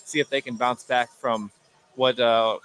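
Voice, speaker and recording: A man's voice: medium pitch, fairly even intonation, measured pace, fairly bright tone. A man giving conversational live basketball analysis as a color commentator, often repeating words as he thinks aloud. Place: indoor sports gym.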